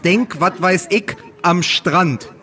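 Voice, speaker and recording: A man co-hosting a casual football talk podcast, speaking in a wry, halting way and often repeating words as he goes. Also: high-pitched, bright, forceful tone, a little hiss or hum. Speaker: podcast co-host.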